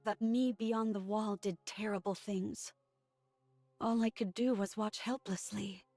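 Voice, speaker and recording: A woman's voice: medium pitch, fairly even intonation, measured pace, warm tone, dry recording. A woman voicing a subdued, earnest, remorseful female android soldier in a dramatic video game scene. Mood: remorseful, haunted. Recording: music behind the voice.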